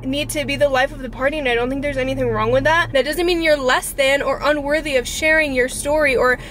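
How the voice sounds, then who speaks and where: A woman's voice: high-pitched, fairly even intonation, fast, a bit bright. A woman in her twenties chatting casually and earnestly to camera as a vlogger, informal and mildly profane, recorded inside a car. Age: 20s. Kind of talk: casual confessional chat to camera. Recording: car interior with clear background noise.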